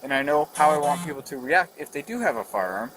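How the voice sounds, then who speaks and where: A man's voice: on the high side, animated, quick pace, a bit bright. A man working as a police officer, giving firm, procedural instructions during a roadside traffic stop. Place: outdoors at a roadside by a vehicle. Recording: noisy roadside body-camera audio.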